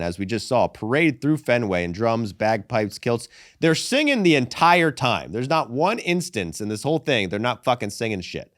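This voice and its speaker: A young man hosting a casual sports podcast, animated and profane, calling people "brother" and "dude". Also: medium pitch, no echo, clean studio sound. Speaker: podcast host.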